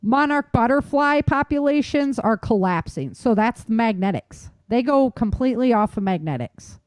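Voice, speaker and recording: A woman with a mellow, dark-toned voice talks as a show co-host. She comments on the news in a conversational but opinionated and emphatic way. The sound is dry, with very little room around her. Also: on the high side, animated, normal speed, no room sound. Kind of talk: informal news commentary and chat.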